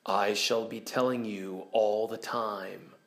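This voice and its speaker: A great storybook lion, majestic and loving, as read by a man. He speaks softly and solemnly, with gentle authority. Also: fairly low pitch, fairly even intonation, slow pace, gravelly, pitched below the performer's own voice, full character voice.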